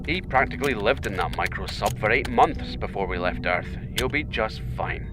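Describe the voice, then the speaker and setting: An actor playing a calm male spaceship captain with a dry sense of humor, in an audio drama. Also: on the low side, moderate pace, over music, close to an everyday voice. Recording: noisy background ambience.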